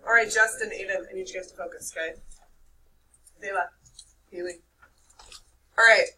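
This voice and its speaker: Young woman, nasal, teacher lecturing a class, frequent "okay?", heard from across a classroom. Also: medium pitch. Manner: lively, checks in with "okay?" often. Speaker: high school English teacher. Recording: distant mic with faint room noise.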